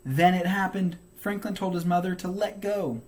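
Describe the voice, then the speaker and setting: A man reading a children's book aloud as a teacher, with expressive, emphatic narration for young listeners. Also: sits high, normal speed.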